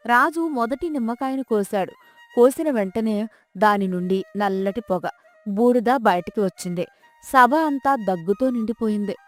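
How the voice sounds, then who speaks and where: Higher-pitched woman storyteller, music behind. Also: moderate pace. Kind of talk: scripted folk-tale narration.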